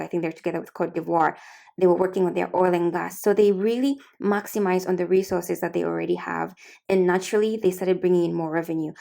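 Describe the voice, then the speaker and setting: A woman's voice: on the low side, vocal fry, warm tone. A young woman with an East African accent, hosting a casual talk show and explaining a topic in a chatty way, often correcting herself and laughing at her own slips. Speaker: host.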